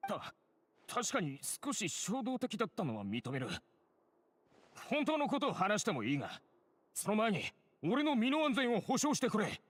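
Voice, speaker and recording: Agitated shifty crook, fast and swooping. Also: male voice, sits high, wildly swooping pitch, heavily acted. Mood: anxious, confessing. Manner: agitated, protesting. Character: shifty, defensive male petty criminal under questioning. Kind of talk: Japanese game voice acting. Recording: game audio with music behind.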